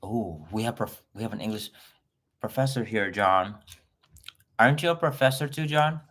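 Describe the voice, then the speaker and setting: Chatty man, lowish voice. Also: lively intonation, moderate pace, a bit bright, a little hiss or hum. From Philippines. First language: Filipino. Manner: chatty and effusive, greeting viewers. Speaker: livestream host. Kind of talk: casual livestream chat with viewers. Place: home in Los Angeles.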